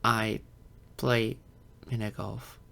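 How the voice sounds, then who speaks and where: A man's voice: on the low side, little pitch movement, extremely slow, vocal fry, sharp tone, exaggerated voice. A man playing an insecure, geeky adult silver fox in an audio roleplay, hesitant, stammering and self-deprecating. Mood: flustered, nervous. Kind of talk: ASMR-style audio roleplay acting.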